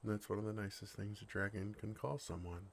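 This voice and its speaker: A man voicing a bashful, earnest dragon in love in an ASMR fantasy roleplay, speaking tenderly with hesitant, awkward pauses. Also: lowish voice, level tone, creaky, a little hiss or hum.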